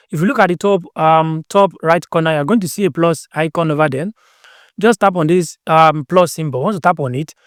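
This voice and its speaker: Higher-pitched man giving a tutorial. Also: darkish tone, dry recording, clean studio sound. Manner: repeats phrases and restates instructions. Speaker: tutorial presenter. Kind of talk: step-by-step tutorial narration, unscripted.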